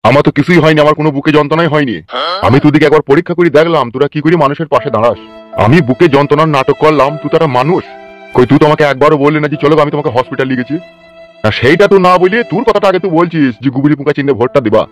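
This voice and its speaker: A cantankerous, sly old village man from a comic cartoon, played by a man. His rural West Bengal speech is theatrical, crude and very quick, over a music bed. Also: fairly high pitch, natural voice. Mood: indignant. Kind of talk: fast comic cartoon dialogue.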